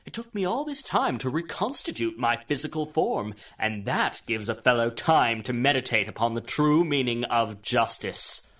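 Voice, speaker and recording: A man's voice: mid-range voice, big pitch swings, normal speed, few pauses, a bit bright. A man playing a grandiose, scheming supervillain in a radio drama, with a florid and ingratiating manner. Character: grandiose, scheming male supervillain with electrical powers. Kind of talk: radio drama acting in 1930s pulp serial manner.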